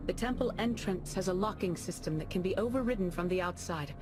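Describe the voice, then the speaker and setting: An actress playing a dignified, formal Jedi leader in a video game cutscene. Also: female voice, lowish voice, a little fast, over music, close to an everyday voice. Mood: resolute.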